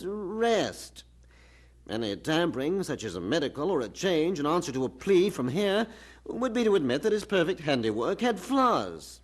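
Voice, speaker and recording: Condescending academic, higher-pitched, very animated delivery, didactic radio drama style. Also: male voice. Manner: didactic, pompous, measured argument. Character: learned, condescending male university professor arguing patiently.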